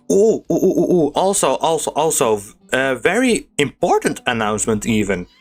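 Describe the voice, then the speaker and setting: Chatty, excitable man over game music. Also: on the high side, moderate pace. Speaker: gaming YouTuber. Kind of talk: casual gameplay commentary. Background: video game music.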